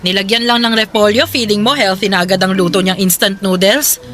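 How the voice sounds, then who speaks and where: A woman's voice: mid-range voice, fairly even intonation, a little fast, a bit bright, a little hiss or hum, close to an everyday voice. An actress playing a bitter, scolding older woman who works in a grocery, in a radio love drama, curt and sarcastic. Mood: scornful. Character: grumpy, bitter older female grocery co-worker. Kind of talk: Tagalog radio love-story drama acting.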